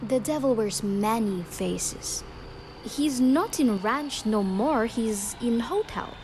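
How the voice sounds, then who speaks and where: Young Argentine maid turned informant, Spanish accent, higher voice, quietly bold, radio play with faint noise behind. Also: female voice, lively intonation. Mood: knowing, confiding. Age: young adult. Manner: halting, clipped non-native phrasing. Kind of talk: audio drama acting.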